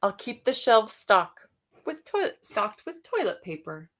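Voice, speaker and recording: Slow-paced woman reading to children. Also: medium pitch. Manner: expressive reading, alternating with softer musing asides and hums. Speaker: read-aloud storyteller for children. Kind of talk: picture-book read-aloud with questions to young listeners.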